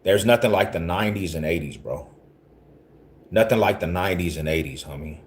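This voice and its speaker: A man's voice: sits low, lively intonation, a little fast. A man in his forties from Denver, talking casually to his livestream viewers in rambling street slang and often repeating himself. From Denver, Colorado. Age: 40s.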